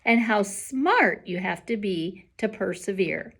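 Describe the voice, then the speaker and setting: A woman's voice: mid-range voice, animated, slow, fairly bright tone, slightly rough, full character voice. A woman voicing an encouraging, earnest male schoolteacher from a storybook, addressing a class with emphatic rhetorical questions. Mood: encouraging, instructive.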